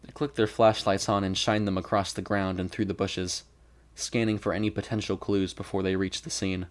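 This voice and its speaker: Low-voiced man narrating a story. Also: flat delivery, normal speed. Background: background music.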